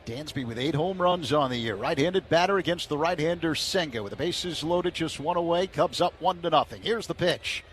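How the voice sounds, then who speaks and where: Male radio play-by-play announcer, somewhat high voice, measured delivery that builds on big plays, light background noise. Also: fairly bright tone.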